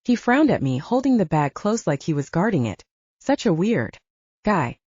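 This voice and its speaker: A woman's voice: fairly low pitch, big pitch swings, normal speed, a little mellow, slightly rough, no echo, lo-fi sound. A woman narrating first-person fiction as a scripted audiobook, recorded close in a dry space.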